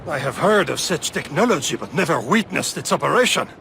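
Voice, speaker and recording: High-voiced haughty French naval officer. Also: male voice, expressive, brisk, bright, forceful tone, full character voice. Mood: dry, haughty. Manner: pompous, formal. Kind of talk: video game cutscene acting. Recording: clear sea wind and wave noise.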